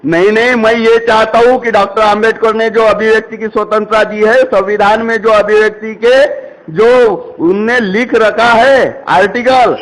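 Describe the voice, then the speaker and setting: A woman arguing heatedly on a phone call, scolding, confrontational and quick to cut in. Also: on the high side, steady tone.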